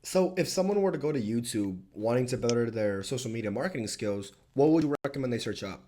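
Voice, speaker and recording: A man with a mid-range voice hosts a relaxed conversation. He tells stories in a chatty, laid-back way and asks easy questions. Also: medium pitch, fast talker, a little hiss or hum. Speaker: podcast host. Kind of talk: casual podcast conversation.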